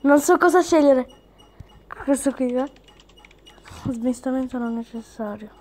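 A woman's voice: high-pitched, measured pace, dark tone, slight background noise. A woman chatting casually through a game as a video host, reading on-screen text aloud as she comments.

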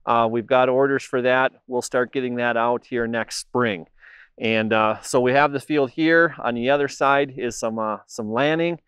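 A man's voice: mid-range voice, fairly even intonation, normal speed, a bit bright, clear, resonant tone, no room sound. A middle-aged man who manages a seed program gives a plain-spoken walking tour of a cleaning plant and wheat fields, with many "uh" fillers. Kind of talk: informal walk-through tour.

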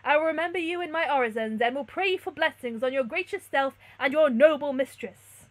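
A woman's voice: high-pitched, brisk, no room sound, voice pushed up, close to an everyday voice. A woman voicing a courteous, melancholy young peasant man in a gothic novel, formal and earnest. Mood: earnest, proud. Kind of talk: gothic novel read-aloud.

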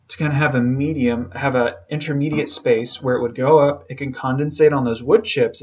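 Male instructor, medium pitch, casual and keen, explaining in an informal lecture style. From southern United States. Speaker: beekeeping instructor. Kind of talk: informal lecture over slides.

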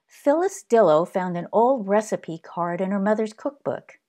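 A woman narrating a children's story in an expressive read-aloud style. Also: medium pitch, normal speed.

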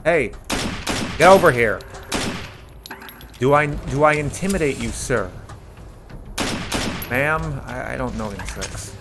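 A man's voice: mid-range voice, animated, takes it slowly, pauses often, noisy background. A man giving casual playthrough commentary on a video game, talking cheekily to the enemies as he plays. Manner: talks to game enemies, mock-taunting, swearing. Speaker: gameplay streamer and mod author.

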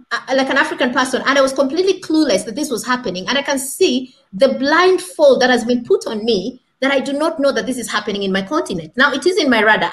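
Emphatic high-voiced woman on a video call. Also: a bit bright. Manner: emphatic, rhetorical questions, repeats for stress. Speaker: panel guest. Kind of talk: impassioned commentary on a video call. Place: small room indoors. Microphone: laptop webcam video call.

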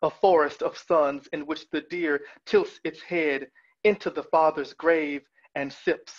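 A man in his thirties reading poetry with deliberate, measured phrasing over a video call. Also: on the high side, steady tone. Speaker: poet. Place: small room at home with bookshelf. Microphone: video call, wearing headphones. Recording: dull, lo-fi video call audio.

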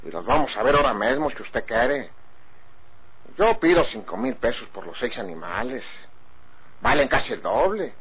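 An actor playing a frank, folksy country cattle seller in a radio drama. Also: male voice, medium pitch, very animated, normal speed, a bit bright, husky, heavily acted. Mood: bargaining. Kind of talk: golden-age Mexican radio drama acting. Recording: noisy old radio drama recording.

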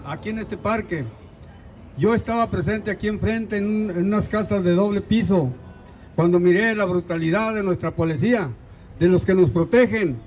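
A man's voice: high voice, a little mellow, a little hiss or hum, low-quality recording. An older man from Mexico with a Mexican accent, giving an earnest, rousing rally speech outdoors into a handheld mic. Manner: earnest, rousing, asks pointed questions. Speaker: rally speaker. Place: outdoors in a park.

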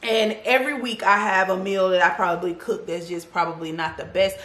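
A woman's voice: mid-range voice, steady tone, fairly quick, fairly bright tone. A woman in her thirties chatting casually through a grocery haul in her home kitchen, sassy and talkative, calling her viewers y'all and baby. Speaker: vlogger. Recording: echoey kitchen.

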